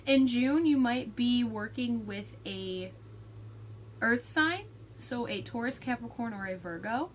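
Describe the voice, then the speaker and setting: A young woman talks through a tarot forecast in a loose, chatty way, full of ums and likes. Her voice sits a little high and carries a slight rasp from a lingering cold. A faint background noise hangs under the recording. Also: unhurried. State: raspy from a cold. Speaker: tarot reader. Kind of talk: casual tarot forecast reading.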